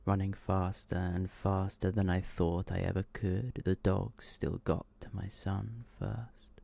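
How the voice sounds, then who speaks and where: A man with a very low, creaky voice reads scary stories in an ASMR style. His delivery is slow and hushed, often sinking toward a whisper, with a dark, mellow tone. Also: little pitch movement. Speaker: ASMR host reading short horror stories. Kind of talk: ASMR scripted narration.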